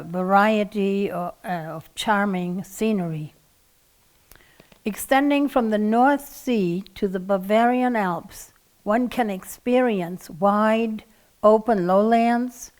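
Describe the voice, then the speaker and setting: An elderly woman in her eighties, a native German speaker with a German accent, giving a prepared talk in a measured, formal manner. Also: mid-range voice, a little mellow. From Czechoslovakia and Germany. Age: 80s. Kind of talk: prepared talk, personal recollection and presentation.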